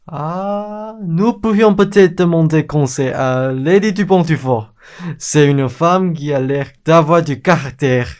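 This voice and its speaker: A woman playing a meek, bumbling, deferential old gentleman in a comic radio play, speaking politely and hesitantly. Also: deep voice, slow. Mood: timid, placating.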